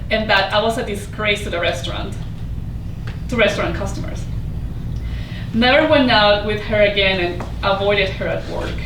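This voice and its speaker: A woman's voice: mid-range voice, lightly acted. A woman acting in a staged play reading as a proud, prickly hotel housekeeper from Mexico, with a wry, conversational manner. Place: indoor staged reading before an audience. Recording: roomy, distant mic, noisy live stage.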